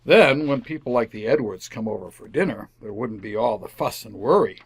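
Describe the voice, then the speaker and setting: A man's voice: mid-range voice, expressive, brisk, husky, dry recording. A man playing an earnest, stubborn and easily flustered suburban father in an old-time radio family comedy. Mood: defensive. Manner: earnest, defensive.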